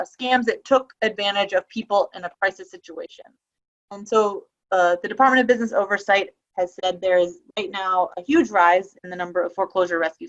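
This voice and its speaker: A woman talks through her slides on a video call in a loose, conversational way, stopping now and then to restart a sentence. Her voice sits in the middle of the range. The audio is a little dull and muffled. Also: mid-range voice. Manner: conversational, with frequent ums and restarts. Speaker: webinar presenter on elder abuse prevention. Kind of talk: casual webinar presentation.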